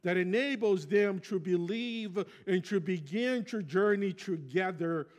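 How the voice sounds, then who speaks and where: A man's voice: high voice, measured pace. A man from Brazil with a Brazilian Portuguese accent, a native Portuguese speaker, preaching a sermon in a reflective, conversational storytelling way. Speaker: pastor.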